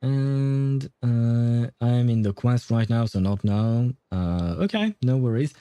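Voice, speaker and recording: Mellow, rambling man chatting on a livestream. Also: medium pitch, animated, slow pace, clean tone, no room sound. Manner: rambling, hesitant, chuckles often. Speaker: livestreamer playing a game. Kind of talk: casual chat with viewers while gaming. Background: faint game music.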